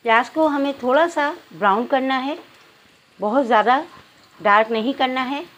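A woman presents a home recipe in a fairly high voice. She talks straight to her viewers and takes them through the cooking one step at a time. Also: normal speed, fairly bright tone. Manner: step-by-step, addressing viewers directly. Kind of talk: instructional cooking narration.

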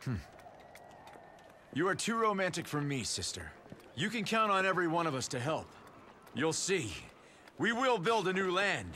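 An actor playing a proud, bold young warlord in a video game cutscene. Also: male voice, high-pitched, very animated, moderate pace, long pauses, bright, forceful tone, slight background noise, full character voice. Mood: brotherly, confident. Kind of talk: English-dubbed video game cutscene acting.

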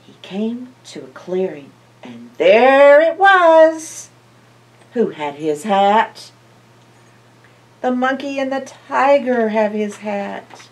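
An older woman reads a children's picture book in an expressive storyteller's manner. Her pitch swings widely and she takes her time. The microphone sits a little way off from her. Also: slow. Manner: expressive, asks listeners questions, animated reactions. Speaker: library storyteller. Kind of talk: read-aloud picture book for young children. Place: indoor library, seated by bookshelves. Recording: slightly distant microphone.

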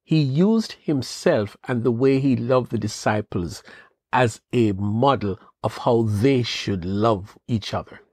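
A man hosting a devotional podcast, giving an earnest, measured Bible teaching with rising questions. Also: medium pitch, a little mellow, breathy edge, no room sound, studio quality. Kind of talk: scripted devotional talk.

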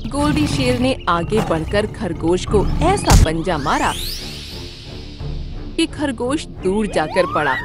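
Woman narrator for a kids' cartoon, very lively pitch, music under. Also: slow. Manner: lively, expressive. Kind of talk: storybook narration for children.

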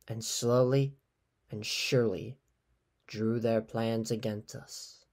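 A man's voice: medium pitch, a little mellow. A man delivering solemn scripted narration in the manner of an old radio drama.

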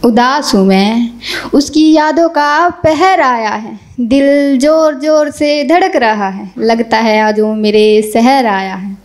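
High-voiced young woman reciting poetry. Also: slow. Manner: rhythmic, repeats each couplet. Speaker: poet. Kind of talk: open mic poetry recitation. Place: small indoor stage. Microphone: stand mic.

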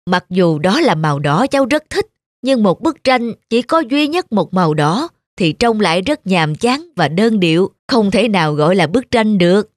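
A woman's voice: medium pitch, sing-song, moderate pace, no echo, clean studio sound, close to an everyday voice. A woman voicing a kindly old male painter who patiently teaches a young man in a dubbed cartoon. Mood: gently teaching. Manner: patient, instructive.

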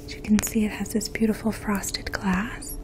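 A woman in her twenties speaking in a soft, hushed, breathy voice, gently explaining things as a makeup artist in an ASMR role play. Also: mid-range voice, moderate pace. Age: 20s. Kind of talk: ASMR role play, addressing the listener as a client. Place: small indoor room. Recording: faint steady outdoor hum. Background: distant lawn mower.

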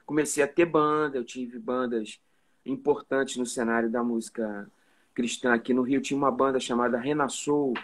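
A man's voice: mid-range voice, normal speed. Carioca man telling stories over a video call. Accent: Carioca Portuguese. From Rio de Janeiro, Brazil. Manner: relaxed storytelling with many "né?" tags. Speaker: musician guest. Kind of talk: casual live video chat. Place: indoor room at home. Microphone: phone or webcam on a video call.

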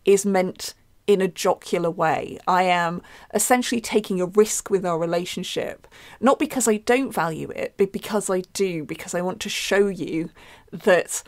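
A woman guest discussing language on a radio show with lively enthusiasm. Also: fairly low pitch, normal speed, no echo.

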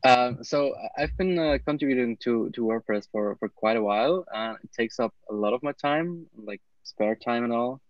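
A young man on a casual video call, a developer, speaking in a measured, slightly halting way. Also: medium pitch, no room sound, muffled recording. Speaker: guest, developer.

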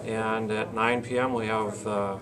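A man in his forties reading out an event schedule as an interview guest, plain-spoken and halting. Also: lowish voice, level tone, a crowd in the background. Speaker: county fair board president, interview guest. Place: small indoor meeting room, seated at a table. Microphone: camcorder a short distance in front.

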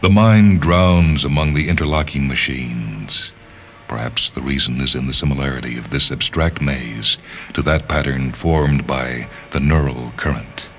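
A man reading a literary short story as a radio narrator, in a measured, deliberate and dramatic delivery. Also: very deep voice, slight background noise. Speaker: reader of short stories on a radio show. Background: background music.

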